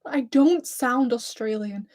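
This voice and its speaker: A woman's voice: on the high side, takes it slowly, a little mellow. A woman in her twenties with a mix of Lancashire and Scouse accent, chatting nervously and giggling on a live stream while reading viewer comments. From England, near Blackpool. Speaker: YouTuber hosting a livestream.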